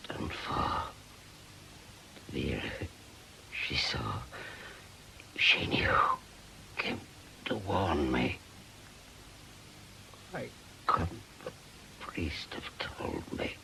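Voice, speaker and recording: A man playing a weak, delirious, fearful injured man in a radio drama, whispering in halting, broken fragments. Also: a bass voice, big pitch swings, bright, forceful tone, exaggerated voice, low-quality recording. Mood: delirious dread. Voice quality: breathy, croaking whisper. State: weak, barely conscious.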